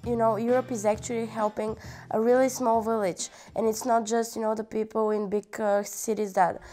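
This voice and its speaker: A woman's voice: fairly high pitch, flat delivery, moderate pace, warm tone, faint music behind. A young woman from Bulgaria talking earnestly in an interview, with frequent fillers. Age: young adult. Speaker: filmmaker. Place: indoor room with piano.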